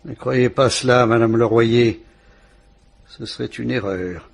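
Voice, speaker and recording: An actor playing a courteous police inspector who questions a witness in a measured, reassuring way, in a vintage French radio drama. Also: male voice, mid-range voice, creaky. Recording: old radio play recording.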